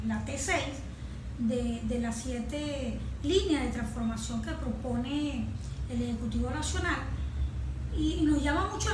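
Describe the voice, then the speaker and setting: Venezuelan woman, high voice, interview guest. Emphatic, halting delivery in a noisy room. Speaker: guest, environmental advocate. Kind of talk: radio interview, explaining proposals. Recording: very echoey room, clear background noise.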